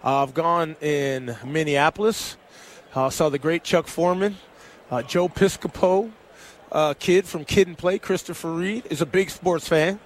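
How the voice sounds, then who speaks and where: A man's voice: fairly high pitch, unhurried, a little hiss or hum. Chatty man hosting sports radio. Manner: animated, chatty, many fillers. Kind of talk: casual sports talk radio banter. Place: broadcast booth on a busy event radio row. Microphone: headset.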